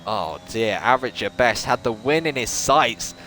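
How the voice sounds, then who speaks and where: A man giving excitable live play-by-play commentary on an online racing series, rising sharply in pitch at the big moments. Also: moderate pace, slight background noise, low-quality recording. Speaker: lead race commentator.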